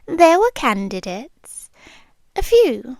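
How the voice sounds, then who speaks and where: Casting: woman to play possessive British creature queen for ASMR roleplay; teasing, hushed delivery, extremely slow pace, close dry sound. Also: sits high, sing-song, dry recording, full character voice. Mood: sly, amused. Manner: slow, hushed, teasing, often dropping into whispers. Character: possessive immortal monster queen, amused and coaxing, wanting a human husband.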